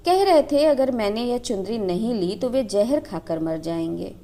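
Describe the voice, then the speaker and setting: A woman's voice: a little high, animated, normal speed, mellow. Woman narrator as a tearful young wife, guilt-ridden, pleading, devoted, storybook drama, swooping intonation. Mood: pleading confession. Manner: pleading, confessional. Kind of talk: dramatised Hindi storybook reading.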